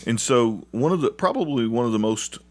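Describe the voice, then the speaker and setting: A man's voice: medium pitch, animated, a little fast. A middle-aged man speaking earnestly and plainly as a guest in a conversational interview, like a parent telling family stories.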